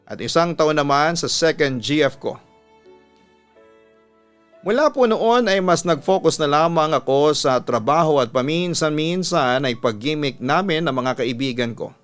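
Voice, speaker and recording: A man's voice: fairly high pitch, expressive, unhurried, fairly bright tone, clear, resonant tone. A man with a Filipino accent, a radio storytelling host, reading a listener's letter as scripted narration. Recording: music bed under the voice. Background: instrumental music.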